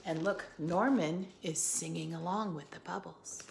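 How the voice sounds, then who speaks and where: A woman teacher reading a picture book aloud for children, expressively, pausing to put questions to her listeners. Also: on the low side, vocal fry. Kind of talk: animated read-aloud for children with asides and questions. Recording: echoey room, faint background noise.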